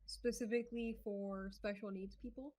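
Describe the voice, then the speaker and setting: A young woman speaking as a podcast guest in casual chat, hesitant and soft-spoken, filling pauses with "um" and light laughs. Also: medium pitch, fairly even intonation. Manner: soft-spoken, hesitant, many fillers, giggly.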